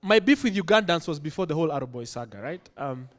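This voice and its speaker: High-voiced Kenyan man doing stand-up. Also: lively intonation, fast, fairly bright tone. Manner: conversational, crowd-teasing, switching English and Swahili. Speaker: stand-up comedian. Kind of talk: stand-up set. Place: comedy club stage. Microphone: handheld stage mic.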